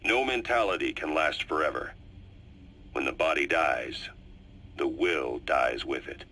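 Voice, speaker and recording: A low, forceful male voice for a video game spy. He is an older, calculating intelligence man who lays out secrets coolly and deliberately, with a conspiratorial weight to his phrasing. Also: lively intonation, slight background noise, heavily acted. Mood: solemn, reflective. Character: calculating older male covert intelligence operative, cool and cryptic. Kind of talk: scripted video game monologue and dialogue.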